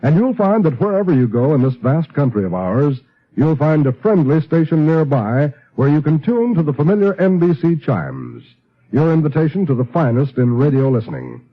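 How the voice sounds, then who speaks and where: Male American announcer, slightly high and lively, polished old-time network radio delivery. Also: moderate pace. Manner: polished, formal promotional delivery. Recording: muffled vintage radio broadcast, faint music.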